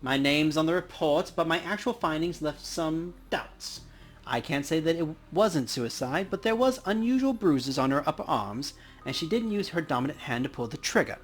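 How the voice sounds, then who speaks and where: Man reading as a dry, world-weary coroner, fairly high. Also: expressive, normal speed, breathy edge, soft background music. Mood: matter-of-fact. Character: world-weary male coroner in a cyberpunk game.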